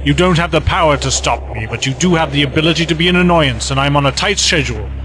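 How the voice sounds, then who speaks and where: A man's voice: a little high, noisy background, close to an everyday voice. A man playing a smug scheming villain. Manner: smug, menacing, measured. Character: scheming male villain Master, cold and commanding. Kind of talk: fan audio drama. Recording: music bed behind voices.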